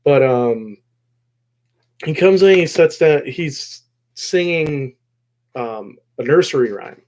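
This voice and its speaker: A man hosting a casual recap discussion of a show episode, rambling along and often pausing mid-phrase. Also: on the high side, expressive, darkish tone, poor audio. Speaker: host of a show discussion video.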